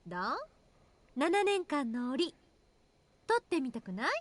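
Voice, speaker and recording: A woman's voice: high voice, very animated, fairly quick. An actress playing a playful, sly woman doctor in a visual novel, with a coy, singsong, mocking delivery. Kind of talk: Japanese visual novel voice acting.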